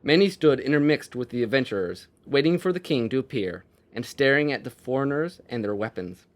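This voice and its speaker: A man's voice: mid-range voice, lively intonation, a little fast. A man reading a fantasy story aloud as its narrator.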